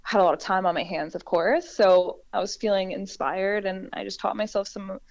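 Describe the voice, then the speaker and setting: A woman singer-songwriter with a low voice, talking through how she wrote a song in a relaxed, reflective way with frequent restarts. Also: normal speed, vocal fry, muffled recording. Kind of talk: conversational interview.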